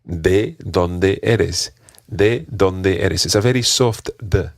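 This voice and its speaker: A man's voice: low-pitched, drawn-out words, gravelly, no room sound, clean studio sound. A man teaching a podcast language lesson, patiently modelling pronunciation and repeating drills for a learner. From Scotland.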